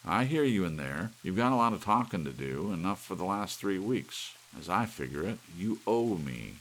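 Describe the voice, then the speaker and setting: A man's voice: low voice, sing-song, normal speed, pitched above the performer's own voice. A man voicing a bitter, menacing husband in an audiobook, demanding and taunting, with rain and hiss behind him. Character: bitter, menacing husband talking angrily to his clock.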